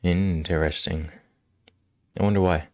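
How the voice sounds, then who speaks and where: A male livestream host chatting casually with viewers, slightly hoarse from a cold, relaxed and quick to laugh. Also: deep voice, dry recording, clean studio sound. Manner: relaxed, laughs often, answers chat. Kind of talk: casual chat with live chat. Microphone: studio microphone.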